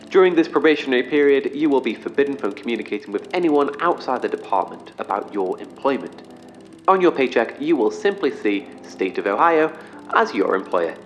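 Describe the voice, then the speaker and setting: An actor playing an eccentric professor in an audio drama, speaking in a formal, wordy, slightly awkward corporate manner. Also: male voice, mid-range voice, very animated, a little hiss or hum, lightly acted. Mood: cheerful, presenting. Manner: formal, wordy, halting under pressure. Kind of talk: orientation film and meeting speech in audio drama.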